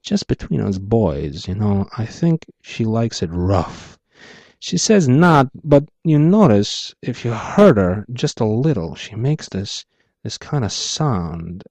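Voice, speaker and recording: A man voicing a theatrical, gloating clockwork gangster boss with taunting, drawn-out phrasing in a noir audiobook. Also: on the low side, very animated, gravelly, a little mellow, no echo, heavily acted. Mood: leering. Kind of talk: dramatic audiobook character voice, noir pulp.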